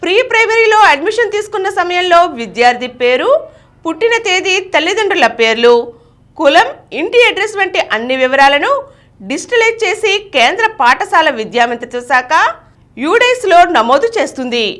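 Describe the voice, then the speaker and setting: A woman news reader delivers a scripted bulletin. Her voice sits high in pitch, and her intonation swings widely from phrase to phrase. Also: big pitch swings, brisk, a bit bright.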